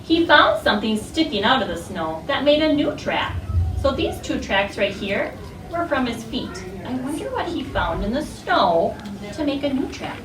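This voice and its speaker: A woman's voice: sits high, very animated, normal speed. A woman teacher leading an interactive read-aloud for young children, instructing, asking questions and repeating their answers back. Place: classroom with children. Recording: roomy, distant mic, loud classroom noise.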